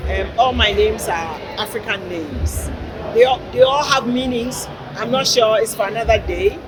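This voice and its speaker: A woman from Ghana's Volta region, a guest speaking warmly and earnestly in an interview with many fillers. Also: on the high side, moderate pace. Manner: warm, earnest, with frequent fillers. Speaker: guest, kente weavers union director. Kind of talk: interview conversation. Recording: loud music and crowd behind.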